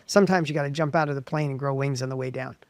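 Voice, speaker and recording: A middle-aged man from the United States telling his story as an interview guest, with a high voice, animated and full of quick restarts. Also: vocal fry, no echo. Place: professional studio.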